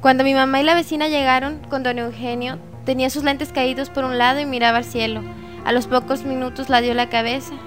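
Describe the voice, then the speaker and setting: An actress plays a Mexican shopkeeper giving eyewitness testimony in a radio drama. Her voice is high and she tells it plainly, step by step, over faint music. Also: flat delivery, brisk, lightly acted. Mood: grave recollection. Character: woman shopkeeper recalling a fatal shooting as eyewitness.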